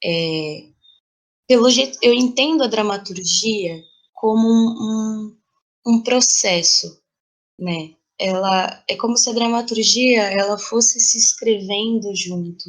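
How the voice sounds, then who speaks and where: Brazilian woman, mid-pitched, reflective interview guest. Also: takes it slowly. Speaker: playwright guest. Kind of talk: conversational interview, reflective personal account.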